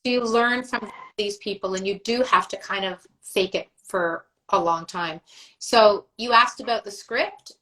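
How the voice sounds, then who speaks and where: A woman's voice: medium pitch, a little slow, pushed and bright, rough recording. A middle-aged woman, a voice actress, chatting as a guest on a live video call, rambling through memories with fillers and laughs. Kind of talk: casual livestream chat.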